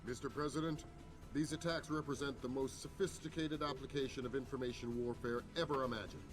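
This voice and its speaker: An actor playing a measured intelligence chief who briefs the president in a game cutscene. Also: male voice, mid-range voice, fairly even intonation, close to an everyday voice. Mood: grave. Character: calm measured male intelligence chief, middle-aged. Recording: game soundtrack with clear music.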